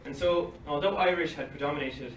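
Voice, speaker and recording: A man's voice: on the high side, a little fast. Distant man reading an academic talk. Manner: reads from paper at a lectern, dry asides with short laughs. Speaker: conference speaker. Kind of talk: academic talk read from notes. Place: library meeting room with bookshelves. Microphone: far phone camera across the room. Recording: roomy, distant, faint hiss, livestream quality.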